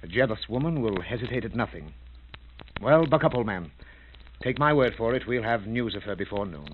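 An actor playing a brisk, shrewd investigator in a 1940s-style radio drama, clipped, probing and authoritative. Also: male voice, on the low side, lively intonation, lightly acted. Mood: assured. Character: brisk, commanding British investigator, shrewd and urgent. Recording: narrow, tinny, hissy old radio recording.

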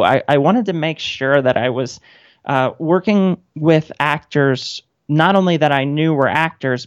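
A woman's voice: low-pitched, darkish tone, dry recording. Wry woman, dull dry audio. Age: 30s. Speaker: radio guest, playwright. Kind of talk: casual interview chat. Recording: dull, band-limited audio.

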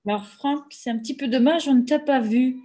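A woman with a fairly high voice hosts an online reading over a video call. She introduces the next speaker. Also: a little fast, warm tone. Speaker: host.

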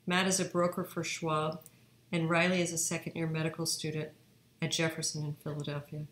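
Low-voiced woman reading a presentation. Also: level tone, brisk, fairly bright tone, roomy sound, poor audio. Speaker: eye surgeon hosting a webinar. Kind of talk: read-aloud presentation narration.

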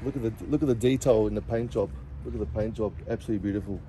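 A man's voice: fairly low pitch, racing, dark tone. Easygoing Australian man outdoors. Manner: easygoing, chatty, lots of fillers and repeats. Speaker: car show presenter. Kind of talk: casual walkaround commentary. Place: outdoor car park beside a diner. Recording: outdoor phone recording with traffic noise.

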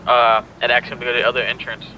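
A man's voice: medium pitch, monotone, very fast, sharp tone. A man relaying information casually to teammates over lo-fi game voice chat. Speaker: roleplay teammate relaying messages. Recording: dull lo-fi voice chat, noisy background.

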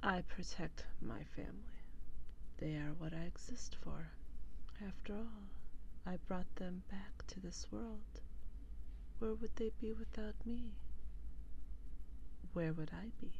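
A woman playing a protective, possessive female vampire in an ASMR roleplay, whispery and breathy, speaking with sly, deliberate courtesy. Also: mid-range voice, darkish tone, highs cut off, a little hiss or hum. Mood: silky, courtly.

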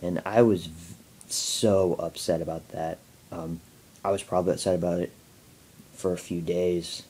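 Low-voiced young man who stutters. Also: fairly even intonation, a little mellow, husky, rough recording. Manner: stutters, halting with many fillers and restarts. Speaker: YouTuber answering viewer questions. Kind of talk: casual Q&A talk to camera.

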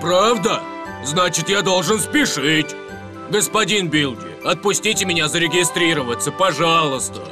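An actor playing an earnest, unsure talking steamroller in a children's cartoon, with a hesitant, drawn-out way of talking. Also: male voice, a little high, over music, close to an everyday voice. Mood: anxious, pleading.

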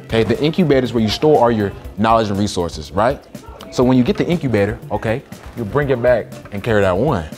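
Man, low voice, lively, boastful and joking, music behind. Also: fast talker. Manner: joking, boastful, laughs often. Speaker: prankster host posing as job candidate. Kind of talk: improvised prank banter.

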